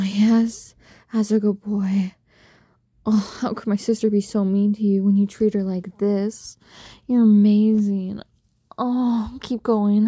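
Really mellow dark-toned woman, breathy, coaxing intimate roleplay talk, super dry close recording. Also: mid-range voice, no room sound. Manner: soft, coaxing, doting pet-name talk. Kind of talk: intimate erotic roleplay audio, addressing the listener.

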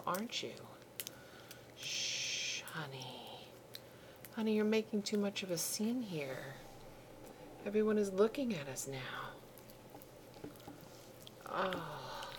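Woman, low and unhurried, soft coaxing whisper, audible background hiss. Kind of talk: intimate audio roleplay.

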